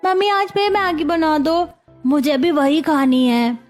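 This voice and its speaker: A woman's voice: sits high, steady tone, unhurried, soft background music. A woman voicing a young schoolchild, eager and a little whiny, in a Hindi cartoon story. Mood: eager pleading.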